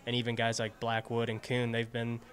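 Brief: young male infielder, earnest and modest, mid-pitched, handheld mic. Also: monotone, fairly quick, fairly bright tone. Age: young adult. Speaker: baseball infielder. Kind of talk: post-game interview. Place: indoors in front of a sponsor backdrop. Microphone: handheld interviewer mic.

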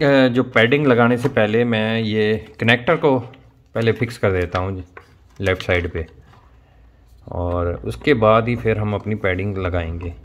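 Guy, lowish voice, casual how-to vlog, walks viewers through it step by step. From India. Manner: explains step by step, addressing viewers. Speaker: motovlogger presenting a product installation. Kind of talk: casual unboxing and tutorial vlog. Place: small indoor room at home.

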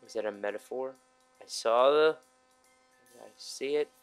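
Male let's play host, mid-pitched, rambling, casual, sweary, faint music underneath. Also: unhurried, clear, resonant tone. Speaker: gaming video host. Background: faint game music.

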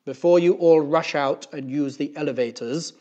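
A man voicing a loud, officious school principal making emphatic announcements in a children's storybook. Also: on the high side, moderate pace, natural voice. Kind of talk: children's storybook character reading.

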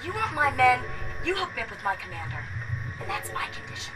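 An actress with an American accent playing a brash, defiant young commando over a field transmission. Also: female voice, high-pitched, sing-song, fairly quick. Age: young adult. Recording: noisy, distant battlefield transmission.

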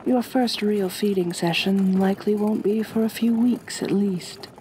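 A woman playing a gentle, doting aristocratic vampire mistress in an ASMR roleplay, speaking softly and breathily with genteel, soothing command. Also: medium pitch, unhurried, slight background noise, close to an everyday voice, poor audio. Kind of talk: ASMR roleplay, intimate acting.

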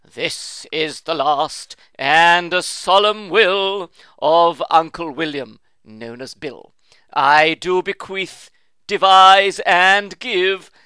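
A man voicing a rich, blustering old uncle who declares his will in a booming, solemn way. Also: sharp tone, clear, resonant tone, no echo, exaggerated voice.

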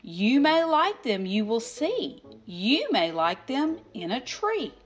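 A woman's voice: a little high, sing-song, slow pace, slightly rough, soft background music, exaggerated voice. A woman voicing a pushy, cheerful little storybook character who coaxes and questions eagerly. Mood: eager coaxing. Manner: coaxing, questioning. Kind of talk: expressive children's picture book read-aloud.

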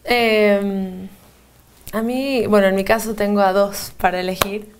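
A woman's voice: medium pitch, steady tone, a little slow, clean tone. A young woman singer with a Mexican Spanish accent, answering interview questions in a chatty, easy way, holding a handheld mic in a TV studio. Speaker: singer guest. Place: TV studio, remote split screen.

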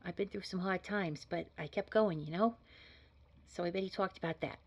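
A woman's voice: fairly low pitch, normal speed, slightly rough. A woman playing an earnest, modest job candidate in an audio drama, talking in a chatty, hesitant, polite way. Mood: eager, modest. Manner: hesitant, rambling, informal, polite. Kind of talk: naturalistic scripted audio drama dialogue.

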